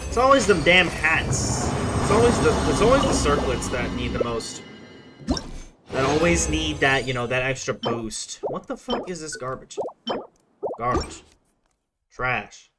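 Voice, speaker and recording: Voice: mid-range voice, animated, unhurried. A young adult streamer giving casual gameplay commentary, rambling in a slangy, profane way. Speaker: game streamer. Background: game music.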